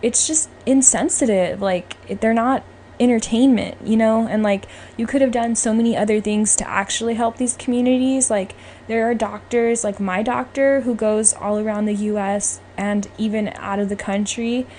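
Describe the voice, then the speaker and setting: A woman's voice: on the high side, fairly even intonation, moderate pace, mellow. A woman hosting a podcast, talking casually and emphatically as she gives her opinions. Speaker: podcast host. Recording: clearly noisy background.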